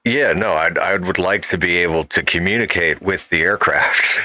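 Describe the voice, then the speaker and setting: Male co-host, very low forceful voice, halting casual delivery. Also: dry recording. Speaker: podcast co-host. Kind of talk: casual panel chat.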